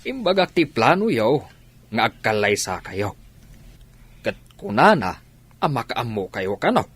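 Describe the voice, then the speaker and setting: A man with an Ilocano accent playing a calm, reasonable uncle in a radio drama. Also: mid-range voice, measured pace, husky, no room sound, full character voice. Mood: advising. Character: calm, reasonable uncle mediating a family quarrel.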